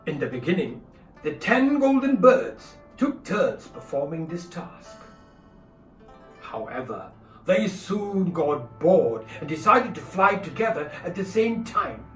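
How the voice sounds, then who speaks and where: A man's voice: on the high side, big pitch swings, slow, recorded from a distance. A man playing a brave, earnest warrior archer who tells his tale dramatically in a radio play. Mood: recounting. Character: brave banished male archer warrior, earnest storytelling hero. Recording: echoey room, off mic, music behind.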